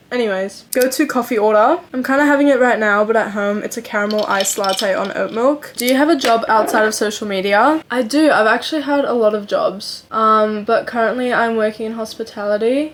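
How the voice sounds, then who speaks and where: A woman's voice: a little high, a little hiss or hum. Chatty upbeat 18-year-old woman vlogging. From Slovenia. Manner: chatty, upbeat, filler-heavy ("like"). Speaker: beauty vlogger. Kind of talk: chatty get-ready-with-me vlog.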